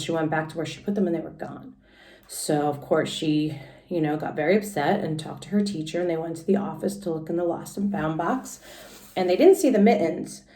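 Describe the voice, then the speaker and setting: A woman's voice: lowish voice, mellow, distant mic. A woman teacher reading a children's story aloud and recapping it casually, explaining simply and expressively for young listeners. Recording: echoey room sound.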